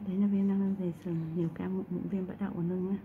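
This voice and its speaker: A woman's voice: lowish voice, flat delivery, quick pace, narrow, tinny audio, slight background noise. A woman chatting casually and explaining cases while she works in a skin clinic treatment room. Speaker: acne extraction practitioner. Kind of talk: casual chat while working.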